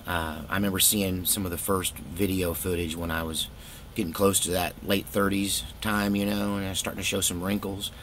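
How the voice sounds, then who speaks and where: A man's voice: deep voice, flat delivery, moderate pace, fairly bright tone, slight background noise. A middle-aged man telling personal stories in a casual, rambling, laid-back way with wry self-deprecation. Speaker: storytelling vlogger, former youth pastor.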